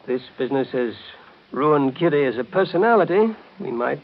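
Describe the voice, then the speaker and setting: Man acting a harried producer, animated. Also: mid-range voice, normal speed, a little hiss or hum, natural voice. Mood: strained, defensive. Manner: earnest, hesitant. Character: harried, regretful American theatrical producer, defensive businessman. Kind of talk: 1930s radio soap opera acting. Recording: muffled narrow-band 1930s radio broadcast.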